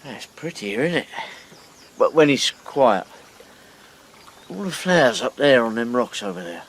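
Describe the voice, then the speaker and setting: Bashful rough boatman, stammering, deferential, radio drama. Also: mid-range voice, very animated, unhurried, a little hiss or hum. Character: shy rough working-class boatman.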